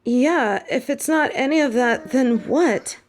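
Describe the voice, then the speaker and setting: A woman's voice: high-pitched, measured pace, slight background noise. A woman playing an anxious, earnest young witch student in a fantasy audio drama, hesitant and pleading. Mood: confused, hesitant.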